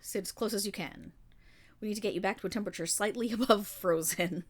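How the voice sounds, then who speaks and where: A woman playing a kindly, weary and remorseful paladin in a fantasy roleplay audio, speaking formally in measured, gentle storytelling with dramatic pauses. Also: medium pitch, lightly acted. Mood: warm, reassuring. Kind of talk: fantasy roleplay audio monologue addressed to the listener.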